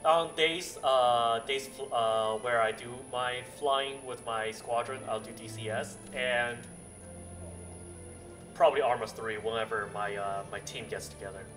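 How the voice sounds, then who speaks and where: Halting male game streamer, distant mic. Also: mid-range voice, fairly even intonation. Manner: hesitant, halting, thinking aloud. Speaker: live streamer playing a strategy game. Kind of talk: casual game stream chat. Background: game music and sounds.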